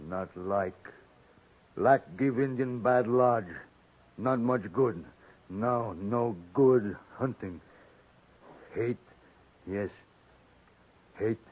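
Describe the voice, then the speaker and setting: Proud guarded native chief, slow broken english, old muffled radio western sound. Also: male voice, medium pitch. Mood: guarded, resentful. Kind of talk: 1950s radio western acting. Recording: muffled 1950s radio recording.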